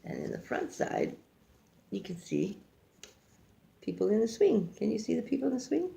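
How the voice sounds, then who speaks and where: An older woman reads a picture book aloud like a grandmother, haltingly, stumbling over words and adding casual asides. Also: medium pitch, big pitch swings, vocal fry, warm tone, a little hiss or hum. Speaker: grandmother reading a storybook aloud.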